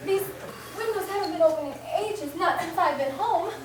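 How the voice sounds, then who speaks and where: A woman's voice: extremely high pitch, normal speed, a crowd in the background. An actress playing a frightened, insistent woman of the house in an old-time radio play, melodramatic and anxious. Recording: very echoey, distant stage recording, faint noise.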